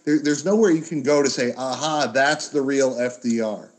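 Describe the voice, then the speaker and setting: A man's voice: mid-range voice, moderate pace. A man who teaches history, speaking informally as the moderator of an academic discussion.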